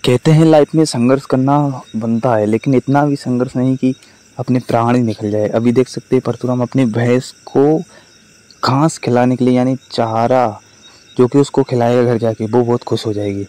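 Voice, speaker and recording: A man's voice: medium pitch, steady tone, normal speed, mellow, low-quality recording. A man, giving casual vlog commentary. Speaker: vlogger.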